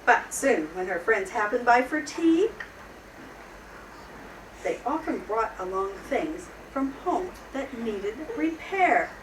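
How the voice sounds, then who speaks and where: Echoey, expressive woman reading a children's story. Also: fairly high pitch, slow pace. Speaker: storybook reader. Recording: roomy, distant mic, noisy background.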